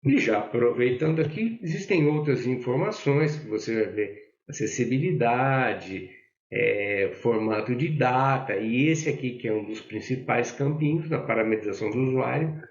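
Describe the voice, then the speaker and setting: A man with a Brazilian Portuguese accent walks through a software tutorial in a gravelly, fry-tinged voice and keeps checking that the listener is following. The recording sounds roomy and a bit dull and lo-fi. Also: medium pitch. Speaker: software instructor. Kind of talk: screen-share software tutorial. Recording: echoey, dull lo-fi audio.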